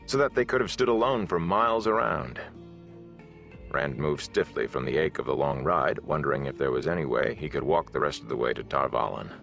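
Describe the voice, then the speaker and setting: A deep-voiced man narrates a fantasy audiobook in a measured, dramatic way. His low register carries the scripted storytelling, with music playing behind him. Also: gravelly, a bit bright.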